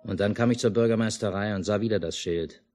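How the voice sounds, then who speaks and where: A man's voice: lowish voice, fast, a little mellow, close to an everyday voice. An actor playing a stubborn, unruffled village family man and narrator in a 1950s German radio drama. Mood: calm, narrating. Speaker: radio play lead and narrator. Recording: noisy mono 1950s radio recording.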